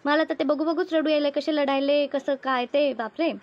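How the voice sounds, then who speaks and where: A woman's voice: high-pitched, fairly even intonation, moderate pace, dull audio. A woman voicing an anxious, tender-hearted older village aunt with a rural Maharashtrian accent, fretfully repeating herself in an amateur cartoon dub. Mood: moved, admiring. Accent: rural Maharashtra Marathi. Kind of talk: amateur Marathi cartoon dubbing.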